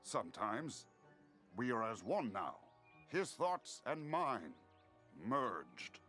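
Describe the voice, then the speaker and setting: An actor voicing a brooding, shapeless void entity in a fantasy game, with a halting, theatrical, musing delivery. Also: male voice, mid-range voice, wildly swooping pitch, bright, forceful tone, full character voice. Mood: eerie, musing. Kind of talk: scripted fantasy game dialogue. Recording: game audio over background music.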